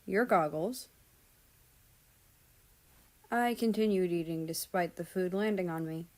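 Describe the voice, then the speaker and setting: A woman's voice: on the low side, normal speed, a little mellow. A woman reading a piece of fan fiction aloud as scripted story narration.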